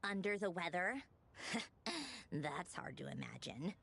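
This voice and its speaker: A woman's voice: on the low side, very animated, takes it slowly, vocal fry, fairly bright tone, husky, heavily acted. A woman playing a poised, haughty and teasing noblewoman in a video game, refined, languid and condescending. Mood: coy, probing.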